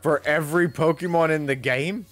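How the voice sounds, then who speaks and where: A man's voice: a little high, steady tone, moderate pace, fairly bright tone. A man in his thirties co-hosting a game stream with casual, jokey, profane banter. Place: indoor streaming setup. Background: video game music.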